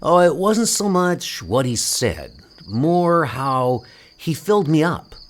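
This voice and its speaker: A man voicing a demented, lonely young man in a comic book dub, confessional and drawn-out. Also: on the high side, very animated, pitched above the performer's own voice. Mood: brooding.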